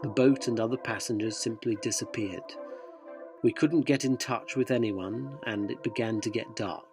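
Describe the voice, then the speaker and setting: A man's voice: mid-range voice, normal speed, music in the background, close to an everyday voice. An actor playing a sceptical, wry interviewer, narrating his surreal expedition in dry, wordy radio drama style. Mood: wry, uneasy.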